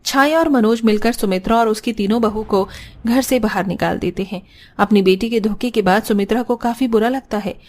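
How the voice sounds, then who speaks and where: A woman's voice: a little high, a little mellow. A woman giving scripted narration for a cartoon story.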